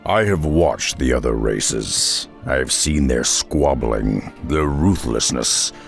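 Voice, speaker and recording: Super deep growly half-ogre loner, slow and brooding, game cutscene w music. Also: male voice, expressive, exaggerated voice. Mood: weary, bitter. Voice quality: gravelly. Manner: brooding, solemn, slow-spoken. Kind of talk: video game cutscene acting. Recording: music behind the voice.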